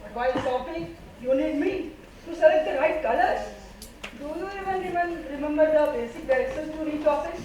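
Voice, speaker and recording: A woman's voice: high-pitched, a little fast, a little mellow, lightly acted. An Indian-accented woman playing a carefree, open-hearted, argumentative right brain in an amateur stage play. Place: stage in a hall, far microphone. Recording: very echoey distant stage recording, noisy.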